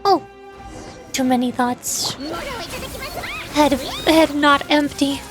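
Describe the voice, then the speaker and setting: A woman's voice: sits high, drawn-out words. A woman streamer giving casual gameplay commentary, playfully muttering and exclaiming to herself. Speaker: VTuber streamer. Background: faint game music.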